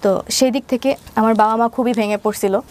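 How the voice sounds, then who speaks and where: High-voiced young Bangladeshi woman. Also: steady tone, a little fast, a little mellow. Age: young adult. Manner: mixes English words into her speech. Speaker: interview guest. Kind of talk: personal account in an interview.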